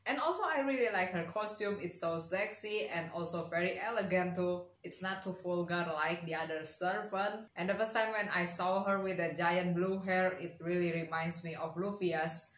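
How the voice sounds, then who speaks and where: A woman's voice: on the low side, takes it slowly, hardly any pauses, reverberant. A young woman giving casual commentary as a reaction video host, speaking into a desk microphone indoors. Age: young adult. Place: small indoor room at a desk. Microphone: desk condenser mic on a boom arm.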